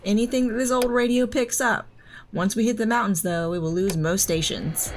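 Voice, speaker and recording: A woman's voice: mid-range voice, moderate pace, a little hiss or hum, close to an everyday voice. A woman playing a loving, teasing mother in an audio drama, both telling the story and talking with her child. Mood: playful, teasing. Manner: reassuring, teasing. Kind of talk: audio drama narration and dialogue. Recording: music under the voice. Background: music.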